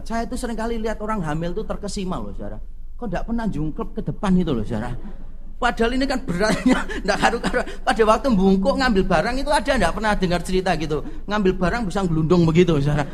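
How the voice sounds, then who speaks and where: High-pitched male preacher. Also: fast talker. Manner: animated, jokey, often puts rhetorical questions to listeners. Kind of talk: expository sermon with colloquial humorous asides.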